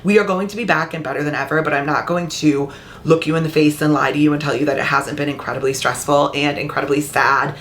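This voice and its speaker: A woman book vlogger talking in a casual, heartfelt way, her voice a little raspy and hoarse after being ill. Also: low voice, fairly even intonation, fast, fairly bright tone, slight background noise. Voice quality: slightly raspy. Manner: chatty and heartfelt. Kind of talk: casual vlog chat. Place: upstairs room at home.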